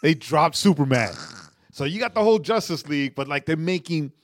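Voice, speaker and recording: A man co-hosting a podcast, talking casually and emphatically, often asking "right?". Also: a little high. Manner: emphatic, excitable, tag questions and quick laughs. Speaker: podcast co-host. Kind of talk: casual chat about a TV episode.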